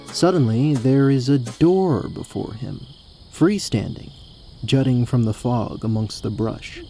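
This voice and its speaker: A man's voice: medium pitch, mellow. A man delivering scripted narration for a fantasy audio drama as its storyteller.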